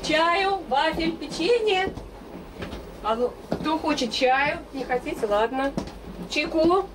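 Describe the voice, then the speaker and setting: Brisk train attendant, high voice, off-mic in a noisy carriage. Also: female voice, lively intonation, far from the mic. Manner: brisk, businesslike, calling out to passengers. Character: bustling female train attendant serving tea.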